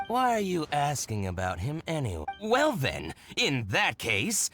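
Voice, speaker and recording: Game trader: hugely swinging intonation, possessive, gossipy, faint music underneath. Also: male voice, a little high, measured pace, exaggerated voice. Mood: wary, gossipy. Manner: animated, bargaining, gossipy. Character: haggling male merchant, theatrical and possessive. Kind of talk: video game voice acting.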